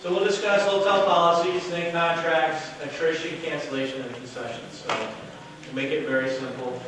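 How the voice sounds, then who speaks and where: A man giving a loud, casual workshop talk to an audience as a hotel sales director, with no microphone. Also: a little high, steady tone, normal speed. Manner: casual, chatty, with fillers. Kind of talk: workshop presentation to a live audience. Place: meeting room with an audience. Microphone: distant camera, no microphone. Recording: very echoey room from far off with noise.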